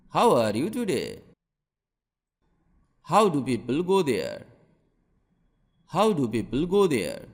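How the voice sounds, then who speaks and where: A man teaching English to beginners, reading each short sentence twice, slowly and clearly. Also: medium pitch, in a large hall. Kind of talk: slow repeated phrase drill for learners.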